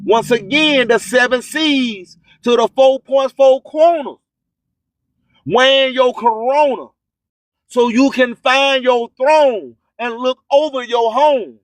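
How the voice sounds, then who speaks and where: A man's voice: very high voice, slow, no room sound. An American man hosting a radio show, preaching spiritual motivation in a declamatory, repetitive, rhyming delivery. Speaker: radio host.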